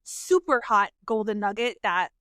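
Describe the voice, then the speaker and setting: A woman's voice: medium pitch, lively intonation, a little slow, fairly bright tone, clear, resonant tone, dry recording, clean studio sound. A woman hosting an interview podcast over a video call, bubbly and effusive, laughing often. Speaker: podcast host and sales coach. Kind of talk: casual interview chat.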